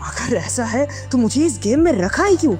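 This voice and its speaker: A man's voice: very high-pitched, animated, moderate pace, darkish tone, faint music behind, voice pushed up. A narrator playing a young male student trapped in a deadly game, anxious and frustrated, speaking emphatically in an audio drama. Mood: angry, frustrated.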